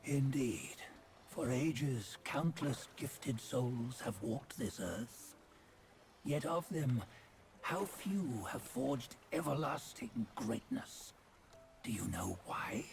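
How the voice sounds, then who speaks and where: An actor voicing a stern, judgmental sage in a video game cutscene, speaking in measured, archaic, sententious phrases. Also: male voice, medium pitch, very animated, vocal fry, a little mellow, a little hiss or hum, exaggerated voice. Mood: solemn, musing. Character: stern, judgmental sage narrating old sins.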